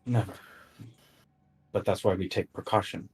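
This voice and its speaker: An earnest, cautious adventurer, played by a man in a tabletop game. The voice is low and slightly rough, and the argument comes in measured, insistent phrases. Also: steady tone. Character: earnest, cautious male adventurer arguing firmly for safety.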